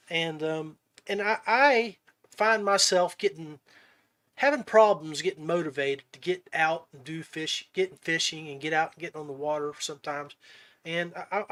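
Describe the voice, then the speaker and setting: A man hosting a podcast in casual interview chat, halting and often repeating words as he goes. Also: on the high side, fairly bright tone. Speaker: podcast host.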